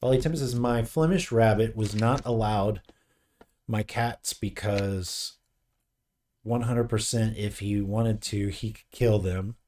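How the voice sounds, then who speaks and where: A man in his late forties chats casually on a live painting stream. His voice sits on the low side. He rambles and jokes while reading viewer comments aloud. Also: slow, a little mellow. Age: 40s. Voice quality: graying beard mentioned, easygoing. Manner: rambling, joking, reads chat aloud.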